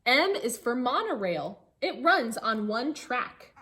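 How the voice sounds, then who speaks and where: A woman teacher reading a rhyming picture book aloud to children in a singsong way, pausing with short asides to engage her listeners. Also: fairly high pitch, big pitch swings, bright, forceful tone.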